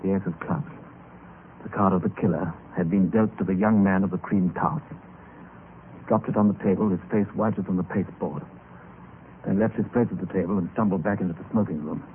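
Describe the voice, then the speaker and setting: Grave gentleman storyteller, low voice, measured and dramatic, old radio mystery, muffled noisy recording. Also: steady tone. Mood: grave, suspenseful. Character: grave gentleman narrating a grim adventure. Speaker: narrator and lead character. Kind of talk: 1950s radio mystery narration.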